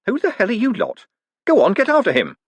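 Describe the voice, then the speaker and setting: Guy doing a snappy, bluffing conman, higher and strained, trying to sound in charge. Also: huge pitch swings, fairly quick, husky, no room sound, full character voice. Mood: snappish. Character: flustered male conman in a dressing gown.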